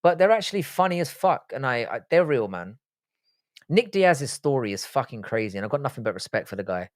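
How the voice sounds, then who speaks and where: A man's voice: mid-range voice, big pitch swings, a little fast, dry recording, studio quality. Profane man chatting on a livestream. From born in Ireland, grew up in the UK. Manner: profane, blunt, joking. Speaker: livestream host. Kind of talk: casual live-stream chat reading out viewer questions.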